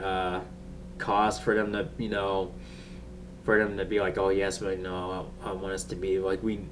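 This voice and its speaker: A man giving a casual, unscripted review as he chats, halting and rambling as he searches for words. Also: sits low. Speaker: TV episode reviewer. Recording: distant mic with faint background noise.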